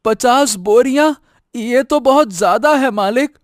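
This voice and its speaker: High-voiced, excitable, greedy jackal acted by a man. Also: measured pace, no room sound, studio quality. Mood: timid protest. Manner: exclaiming, repeating words. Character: excitable, greedy male jackal in donkey's body. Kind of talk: Hindi animated moral story acting.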